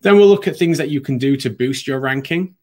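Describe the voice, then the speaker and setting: A man's voice: medium pitch, fairly quick, a little mellow. Sniffly man hosting a live webinar. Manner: conversational, frequent ums and restarts. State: sniffly from hay fever. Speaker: webinar host.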